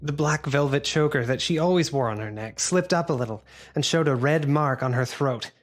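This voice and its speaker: An actor playing an earnest Victorian gentleman doctor who narrates gravely and formally in an audio drama. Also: on the high side, faint music behind, lightly acted. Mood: grave recounting. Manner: grave, formal, measured storytelling.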